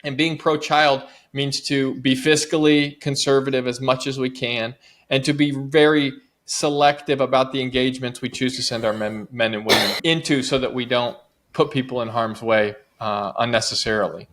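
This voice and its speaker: A man at an ordinary middle pitch speaks as an interview guest. He argues his points earnestly and persuasively, piling up repeated phrases to build each point. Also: level tone, normal speed, a bit bright. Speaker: guest author and advocacy director. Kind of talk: interview, making an argument.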